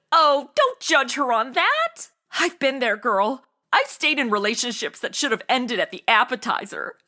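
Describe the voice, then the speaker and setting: A woman's voice: high-pitched, sing-song, a little slow, bright, forceful tone, heavily acted. A woman playing a bubbly, tactless young amateur sleuth in a comedy audio drama, blurting things out in a gushing, flippant way. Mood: gushing sympathy.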